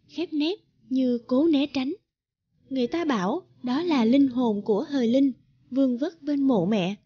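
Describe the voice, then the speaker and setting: A woman's voice: on the high side, mellow, husky. A woman narrator reading a scripted folktale. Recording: dull, band-limited sound.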